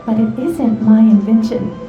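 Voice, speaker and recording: Woman playing a calm, echoing warrior spirit. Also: a little high, phone-quality sound, natural voice. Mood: gentle, explanatory. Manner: measured, gentle, explanatory. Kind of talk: video game voice acting. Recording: reverberant game scene with music.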